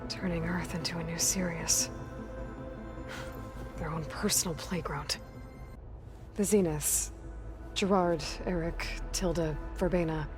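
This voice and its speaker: A determined young heroine in a video game. Her voice is on the low side, with a pensive, direct and questioning delivery. Music is clearly audible behind her. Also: steady tone, slow, pauses often. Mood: pensive, probing. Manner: direct, plain-spoken questioning. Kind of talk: scripted video game dialogue.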